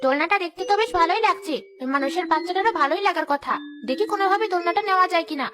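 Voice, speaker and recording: Woman as a high-voiced cartoon ghost mother. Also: rapid-fire, over music. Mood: sly, brisk. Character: protective, devoted ghost mother of a human child. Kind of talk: cartoon character acting.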